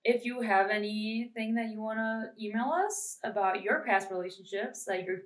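A young woman co-hosting a podcast in casual chat, with a naturally deeper, raspy voice, while she is losing her voice. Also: fairly even intonation, moderate pace. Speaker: podcast co-host.

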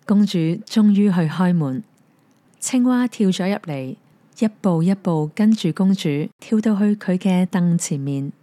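A woman with a Hong Kong Cantonese accent gently narrating a fairy tale. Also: on the low side, a little slow, no echo. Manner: gentle, even storytelling. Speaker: storyteller. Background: soft piano music.

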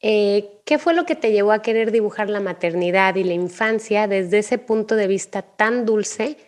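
Affirming woman interviewer, medium-pitched voice. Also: moderate pace. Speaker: podcast host, interviewer. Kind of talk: conversational interviewing.

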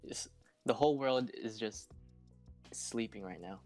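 A man's voice: fairly low pitch, measured pace, darkish tone, soft background music. A man in his twenties co-hosting a casual podcast chat, talking in laid-back slang. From Philippines. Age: 20s. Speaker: podcast co-host.

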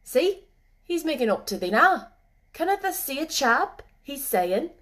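A woman's voice: high voice, big pitch swings, measured pace, fairly bright tone, pitched above the performer's own voice, full character voice. A woman voicing a cheerful, friendly moorland boy in a storybook, with a Yorkshire accent and easy, earthy dialect speech. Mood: friendly, assured.

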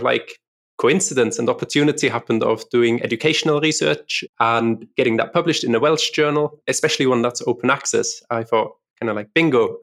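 A man from Austria talks through his career as a podcast guest, relaxed and chatty, with casual fillers. His voice is gravelly with some fry and sits in a middle pitch. He is easy-going and conversational. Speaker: guest lecturer. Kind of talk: podcast interview.

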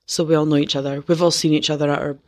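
A wry woman co-host with a lowish voice, chatting in a self-deprecating way and laughing often. The recording is dry and close, with no room sound. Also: level tone, racing, darkish tone, no echo. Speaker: podcast co-host. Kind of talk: casual podcast chat.

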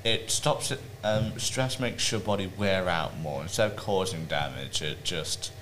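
A man in a casual podcast chat who talks haltingly, restarting and hedging as he goes. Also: low voice, a bit bright, slight background noise. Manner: halting, restarts and hedges often. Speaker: podcast co-host.

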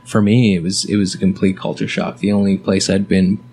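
A young man from Canada with a low, gravelly voice talks in a relaxed, halting way, leaving many fillers. He is the guest in a casual chat. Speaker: interview guest.

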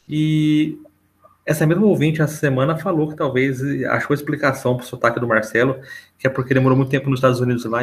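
A man with a São Paulo accent hosts a casual weekly podcast. He talks loosely and tacks on conversational tags, and his voice sits at an ordinary middle pitch. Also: fairly bright tone. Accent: São Paulo Portuguese. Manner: loose, chatty, with filler tags. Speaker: podcast host.

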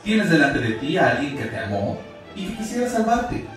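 A man's voice: on the high side, sing-song, moderate pace, fairly bright tone. Guy doing a solemn pleading angel in a radio drama, preachy, super echoey and kinda far off. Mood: urgent, pleading. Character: solemn pleading male angel, God's messenger. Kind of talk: radio theatre acting. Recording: very echoey, distant, music underneath.

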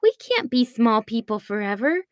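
Storybook young sister, read by a woman: earnest, firm, practical, lively high voice. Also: normal speed, dry recording, clean studio sound. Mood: scolding. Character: sensible, firm young girl, the worried sister.

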